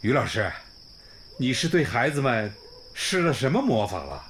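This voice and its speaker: An expressive, kindly old village principal. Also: male voice, mid-range voice, moderate pace, a little hiss or hum. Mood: warm, welcoming. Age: older, around sixties. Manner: effusive, homely, sighing and exclaiming. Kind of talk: radio drama acting. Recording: music bed under the voice.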